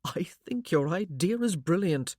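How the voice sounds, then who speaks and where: A woman voicing a protective blind gentleman who questions in a steady, dry manner. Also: on the low side, measured pace, no room sound. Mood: probing.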